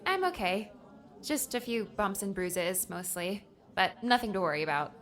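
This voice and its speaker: A woman's voice: medium pitch, expressive, moderate pace, a bit bright, close to an everyday voice. A woman playing a polite, unassuming young woman in audiobook dialogue, understated in manner. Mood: polite, reassuring.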